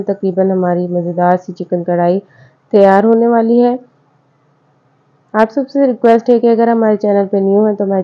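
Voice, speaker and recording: Friendly woman narrating a home recipe. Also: mid-range voice. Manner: friendly, repetitive home-cook explaining step by step. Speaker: cooking channel host. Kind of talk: voice-over recipe instructions. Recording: slightly dull, lo-fi sound.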